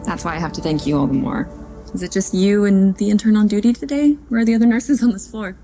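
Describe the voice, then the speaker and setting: A woman's voice: medium pitch, quick pace, dark tone, soft background music, lightly acted. An actress playing a devoted young single mother in an audio drama, earnest and emotive. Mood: grateful. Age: young adult. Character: devoted young single mother, gentle but anguished when threatened.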